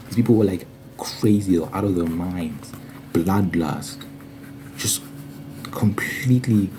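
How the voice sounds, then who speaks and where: A man's voice: low voice, noisy background. A man giving an informal, earnest Bible study monologue in an ASMR style, repeating phrases for emphasis.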